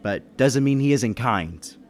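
An actor playing a level-headed businessman who narrates a murder mystery in old-time radio style, measured and reasoning. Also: mid-range voice, animated, a little hiss or hum. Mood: calm narrating. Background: music behind the voice.

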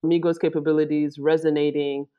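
A woman's voice: sits low, little pitch movement, brisk, clear, resonant tone. Thoughtful woman on dull lo-fi audio. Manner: thoughtful, self-correcting phrasing. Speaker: former startup executive. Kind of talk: interview answers.

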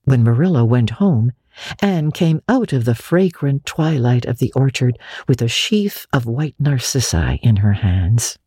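Very deep, husky woman storyteller. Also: lively intonation, unhurried, warm tone, no room sound, clean studio sound. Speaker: audiobook narrator. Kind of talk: expressive literary narration.

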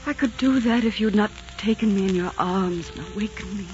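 A woman's voice: medium pitch, brisk, darkish tone, natural voice. An actress playing a passionate, despairing queen in love, in theatrical, romantic 1940s radio drama style. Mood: yearning. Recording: muffled 1940s radio recording.

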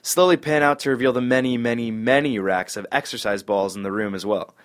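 A man reads screenplay directions aloud at a table read. His pitch is average for a man, and he keeps the delivery casual and lightly amused. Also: a little fast. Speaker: reader of stage directions. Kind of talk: scripted screenplay narration.

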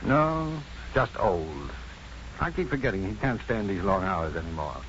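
An actor playing an assured, wry, kindly physician and professor in a 1950s radio drama, speaking in a measured, dryly witty, deliberate way. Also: male voice, low-pitched, animated, fairly bright tone. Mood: wry, easy. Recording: muffled, faintly noisy 1950s radio broadcast.

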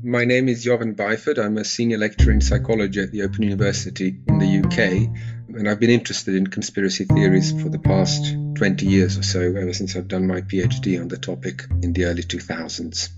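A man from Serbia, a psychology lecturer answering interview questions in a calm, methodical way. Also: low voice, a little fast, lo-fi sound, loud music behind the voice.

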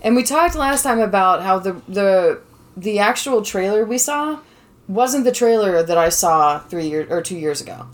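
A woman's voice: mid-range voice, lively intonation, normal speed. A woman in her thirties hosting a podcast in a chatty, casual way, laughing often. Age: 30s. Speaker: podcast host and lecturer. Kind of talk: casual chat.

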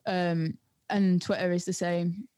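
A woman's voice: lowish voice, level tone, slow pace, warm tone, no room sound. Young woman, dry sound. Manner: informal, colloquial. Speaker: music producer and DJ guest. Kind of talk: casual chat.

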